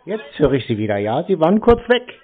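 A man speaking in a deadpan, terse, mock-naive way during a prank phone call. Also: a little high, a little fast. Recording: thin, dull phone-like sound.

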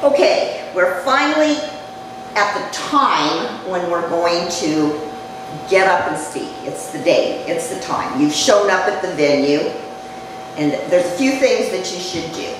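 Older woman, low-dropping voice, lively pitch, echoey hall, distant mic, noisy room. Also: unhurried. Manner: conversational, chatty, jokes with the audience; speaks low, voice drops. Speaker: speaker giving a short public talk. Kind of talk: informal talk to a live audience. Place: community event room.

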